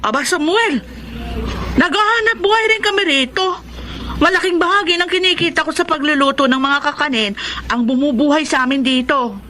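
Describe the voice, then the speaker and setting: A woman's voice: high voice, a little fast, bright, forceful tone. A woman playing a protective, hardworking sister and aunt in a Tagalog radio drama. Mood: indignant. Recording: noisy drama track with background ambience.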